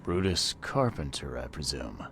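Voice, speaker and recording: Game investigator, male: rock-bottom pitch, weary, terse, fair-minded, matter-of-fact. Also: sing-song, measured pace, a bit bright, a little hiss or hum, full character voice. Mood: measured, questioning. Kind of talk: video game cutscene dialogue.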